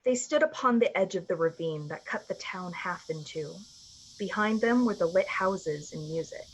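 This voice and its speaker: A woman narrating a radio play in a measured, atmospheric, dramatic storytelling style. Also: fairly low pitch, animated, slight background noise, lightly acted, low-quality recording. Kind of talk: literary radio-play narration in 1940s manner. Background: music.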